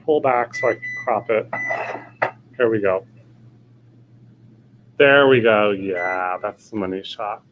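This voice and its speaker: A man's voice: lowish voice, steady tone, slow, long pauses, dull audio, noisy background. A man hosting a casual live cooking demo, rambling with lots of fillers and restarts, in a home kitchen. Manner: says he has a loud voice. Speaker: cooking show host.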